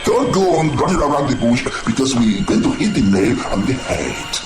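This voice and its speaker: Brisk male radio debate host. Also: medium pitch, sing-song. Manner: brisk, interrupting to keep order. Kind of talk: radio drama debate moderation. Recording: echoey room with music beneath.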